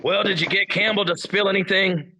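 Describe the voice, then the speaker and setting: A man's voice: high-pitched, fairly even intonation, fairly quick, sharp tone, a little hiss or hum, heavily acted. An actor playing a blunt, demanding male police captain in a radio drama. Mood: stern. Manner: blunt, insistent.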